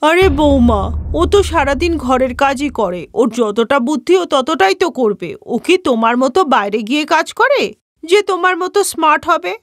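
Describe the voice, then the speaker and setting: A woman's voice: sits high, lively intonation, moderate pace, no echo, studio quality. Older mother-in-law, bossy and cutting, a woman doing the voice, cartoon dub. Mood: scolding. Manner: scolding, belittling, complains of backache. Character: sharp-tongued older mother-in-law, scolding.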